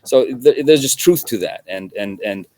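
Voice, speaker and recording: A middle-aged man from Russia, a guest in an interview, talking in a halting way with many restarts and repeated words. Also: mid-range voice, animated, warm tone, dry recording. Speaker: guest journalist. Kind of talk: conversational interview.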